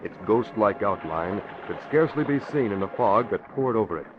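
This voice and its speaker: Deep dramatic radio narrator man. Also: lively intonation, normal speed, background noise. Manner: florid, solemn, suspenseful. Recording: dull vintage radio recording.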